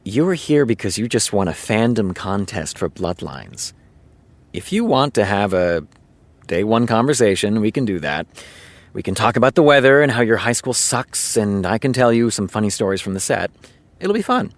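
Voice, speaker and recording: A wry, self-deprecating showrunner in an audio drama. His voice sits fairly low and is recorded dry and close. He talks like a storyteller, letting pauses land. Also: very animated, no room sound. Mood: wry, frank. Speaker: television writer character. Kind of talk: naturalistic audio drama dialogue.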